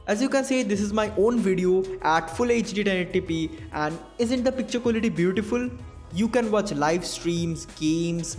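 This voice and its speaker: A young man with an Indian English accent, narrating a scripted gadget review in a high, light voice. His delivery is clear, measured and explanatory. Soft music plays faintly underneath. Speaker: tech reviewer. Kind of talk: scripted product review voice-over.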